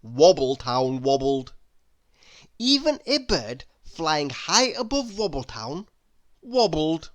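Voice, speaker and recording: A storybook narrator reading a children's tale aloud in an animated, expressive way. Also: medium pitch, slow pace. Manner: animated, expressive, with emphatic sound words. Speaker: storybook reader.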